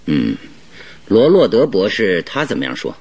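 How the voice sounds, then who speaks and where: An actor in a radio drama playing a probing, methodical male detective with a deliberate, inquisitive delivery. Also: fairly low pitch, huge pitch swings, a little mellow, husky, exaggerated voice. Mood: measured, probing. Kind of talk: old Chinese radio drama acting.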